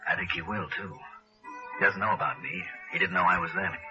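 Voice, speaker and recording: An actor playing a hardboiled but decent American insurance investigator in a 1960s noir radio drama. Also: male voice, low-pitched, steady tone, brisk, lightly acted. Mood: gentle, concerned. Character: hardboiled male freelance insurance investigator, brisk yet decent. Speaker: lead actor and narrator. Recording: dull old radio broadcast, music behind.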